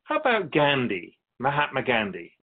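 A man playing a patient, wise, otherworldly Keeper of Souls who teaches in a measured, philosophical way in a radio drama. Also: mid-range voice, huge pitch swings, phone-quality sound. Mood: patient, instructive. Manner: measured, philosophical, gently instructive. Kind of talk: scripted radio drama dialogue.

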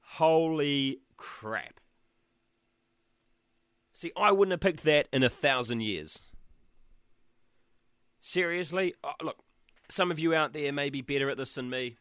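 A man's voice: a little high, expressive, slow, long pauses, fairly bright tone, clear, resonant tone, no echo, clean studio sound. A man with a New Zealand accent hosting his own radio show in casual chat, cheeky and jokey, with a sore throat and blocked nose. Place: small studio room at back of a shed.

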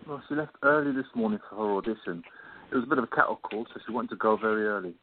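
A devoted yet testy fiancé played by a man in an amateur radio drama. His voice is somewhat low. The sound is narrow and tinny, as if over a phone. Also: very fast, slight background noise, natural voice. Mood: pleased. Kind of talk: amateur radio play acting.

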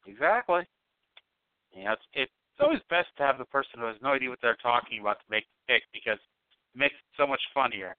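A man's voice: medium pitch, big pitch swings, quick pace, smooth, no room sound. A man hosting a phone-in podcast, chatting casually and teasing his caller with dry humor. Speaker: podcast host. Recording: dry tinny phone-like sound.

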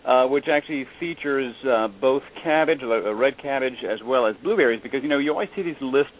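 A man's voice: medium pitch, fast talker. A young adult man, a guest chef, chatting casually and joking, with lots of fillers. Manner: easygoing, joking, many fillers. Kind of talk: casual phone interview. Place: Atlanta. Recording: tinny phone line, faint hiss.

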